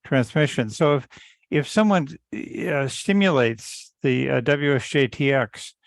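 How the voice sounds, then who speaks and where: A man giving a casual technical explanation in an online meeting, halting and often repeating the starts of words. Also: medium pitch, vocal fry, dry recording, studio quality. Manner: halting, stammering repetitions. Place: online teleconference call.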